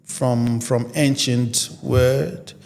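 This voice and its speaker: Non-native English speaking male guest; slow, gravelly, halting; room reverb. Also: medium pitch, steady tone, a little mellow. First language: not English. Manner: hesitant, repeats words while searching for them. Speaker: guest, photographer and musician. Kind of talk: casual interview chat.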